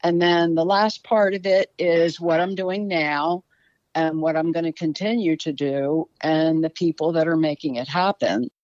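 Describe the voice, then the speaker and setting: A woman's voice: deep voice, unhurried, no echo, muffled recording. An older woman with a Baltimore accent, chatting as a guest author on a podcast. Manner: chatty, warm storyteller. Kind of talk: casual podcast conversation.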